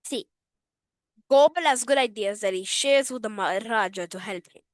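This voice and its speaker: A woman's voice: mid-range voice, moderate pace, no room sound, studio quality, natural voice. A woman reading multiple-choice quiz questions aloud while putting on a playful, exaggerated Indian accent. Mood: teasing. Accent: put-on Indian.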